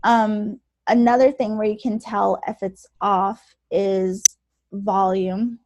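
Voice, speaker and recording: Soft-spoken woman podcast host, lively and casual, recorded dry and close. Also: medium pitch, slow, no echo, highs cut off. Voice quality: naturally soft voice. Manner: chatty, animated, laughs often. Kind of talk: casual chat.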